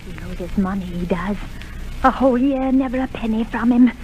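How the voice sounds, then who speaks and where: A woman's voice: a little high, fairly quick, a little mellow, lo-fi sound, natural voice. A woman playing a stubborn, fretful widow mother. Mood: grumbling. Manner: grumbling, insistent. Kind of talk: 1930s radio drama acting. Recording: noisy old radio broadcast.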